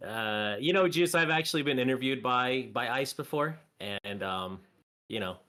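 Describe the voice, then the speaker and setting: Male stream guest, mid pitch, bright tone, easygoing. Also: normal speed. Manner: relaxed, laughs easily, swears casually. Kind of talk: casual chat.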